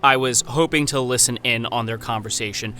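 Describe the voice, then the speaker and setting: Casting: male actor as wary young 1930s anthropologist, formal and precise, sharp forward tone, horror podcast letters, noisy backdrop. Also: medium pitch, steady tone, lightly acted. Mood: intent, troubled. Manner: formal, precise, measured diction. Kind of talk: scripted horror audio drama, letter narration.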